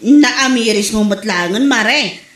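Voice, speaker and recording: A woman's voice: a little high, slow pace, a bit bright, roomy sound. A woman playing a blunt, teasing village wife and gossiping friend in an Ilocano comedy drama, with an Ilocano Filipino accent.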